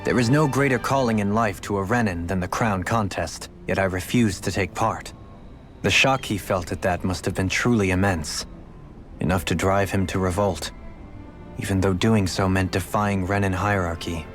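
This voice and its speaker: Game role: refined male ex-lord, lowish voice, noble, melancholy, eloquent and formal. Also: normal speed, a bit bright. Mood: somber, self-blaming. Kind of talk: dramatic video game voice acting. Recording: music under the voice.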